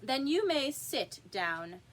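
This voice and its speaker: Woman putting on a sharp, bossy, angry storybook king. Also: high-pitched, sing-song, slow, pitched above the performer's own voice, exaggerated voice, low-quality recording. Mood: sharp, irritated. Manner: sharp, imperious commands. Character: angry, bossy, sharp-tongued king presiding over a court.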